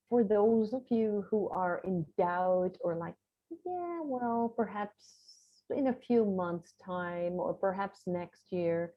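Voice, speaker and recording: A woman's voice: medium pitch, highs cut off. A woman guest in an interview, giving upbeat, encouraging coaching advice over a video call. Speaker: guest, visibility strategist.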